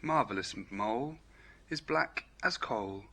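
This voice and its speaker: Storybook rabbit, male, played by a man: dreamy, earnest poet, bright tone, lilting measured verse. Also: mid-range voice, expressive, heavily acted. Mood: earnest, curious. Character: earnest, dreamy male rabbit who recites rhyming poems.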